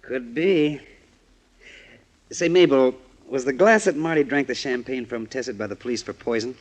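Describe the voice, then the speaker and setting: An actor playing a wry, flippant amateur sleuth, weary but game, in 1940s radio drama style. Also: male voice, medium pitch, moderate pace, close to an everyday voice. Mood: curious.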